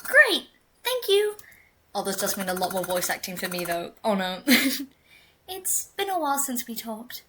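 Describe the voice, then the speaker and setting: A woman's voice: mid-range voice, normal speed, background noise. A woman with a British accent, chatting casually and self-deprecatingly while playing a game. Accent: British English. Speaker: gaming streamer. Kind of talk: casual let's-play commentary.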